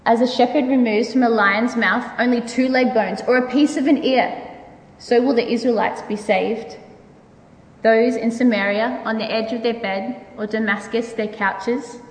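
A woman giving a dramatic scripture reading as a church member, solemn and declamatory in manner. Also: a little high. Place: large reverberant room. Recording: distant, echoey hall with long reverb.

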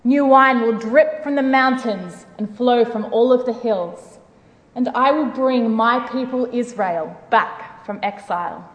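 A woman performs the commanding voice of God in a dramatic Bible reading. The delivery is declamatory and stern, pitched a little high. Long hall reverb trails the words. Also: unhurried, muffled recording, lightly acted. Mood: resolute, promising.

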